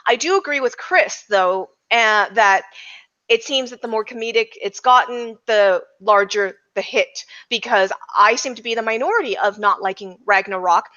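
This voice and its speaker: Slightly muffled, lo-fi audio carries a woman's bright, fairly high voice. She speaks as a panelist in a casual roundtable, polite and emphatic, often halting while she assembles her point. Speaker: podcast panelist discussing a film.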